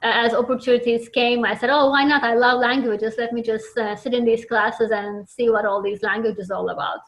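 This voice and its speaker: A woman's voice: a little high, fairly even intonation, moderate pace. Turkish-accented woman, earnest and thoughtful. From Turkey. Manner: earnest, thoughtful, with frequent restarts. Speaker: children's book author. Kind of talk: interview answers. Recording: dull lo-fi audio.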